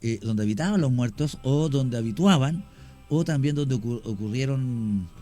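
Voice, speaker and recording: A man's voice: mid-range voice, animated, normal speed, mellow, faint music behind. A middle-aged man with a Chilean accent, a guest on a radio show, telling his story in a rambling, self-correcting, explanatory way. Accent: Chilean Spanish. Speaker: guest, longtime cemetery worker.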